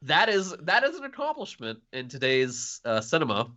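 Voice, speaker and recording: A man's voice: fairly high pitch, wildly swooping pitch, moderate pace, sharp tone. A man co-hosting a podcast, giving casual, wry and understated commentary in a film review chat. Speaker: podcast co-host.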